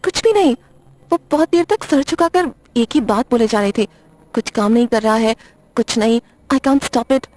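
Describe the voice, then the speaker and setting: A woman's voice: on the high side, normal speed, a little mellow, dry recording, close to an everyday voice. A woman playing a nervous, apologetic young librarian in an audio drama, hesitant, stammering and defensive. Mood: troubled, explaining. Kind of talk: Hindi audio drama acting.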